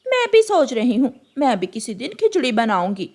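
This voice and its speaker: A woman voicing a greedy, scheming female crow wife in a cartoon, excited and coaxing. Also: fairly high pitch, moderate pace. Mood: envious. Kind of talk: Hindi cartoon dialogue.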